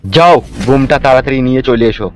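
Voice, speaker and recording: A man's voice: mid-range voice, fast talker, smooth, lightly acted. A man voicing a scheming, bossy cartoon politician with a sarcastic, crude manner. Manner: bossy, mocking, swearing. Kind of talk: Bengali cartoon comedy dialogue.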